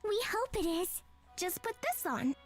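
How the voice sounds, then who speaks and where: A woman's voice: very high voice, sing-song, a little slow, faint music behind, exaggerated voice. A woman voicing tiny, urgent, otherworldly forest fairies in a visual novel. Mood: expectant. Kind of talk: visual novel character dialogue.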